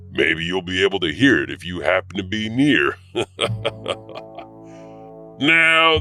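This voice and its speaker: Creepy ghost-story host played by a man: low voice with big melodic swings, sly and theatrical, for an audio anthology. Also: pushed and bright, full character voice. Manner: theatrical, teasing, with sly chuckles. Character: creepy chuckling male teller of ghost tales. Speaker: host and narrator of an urban legend anthology. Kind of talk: scripted spooky storytelling.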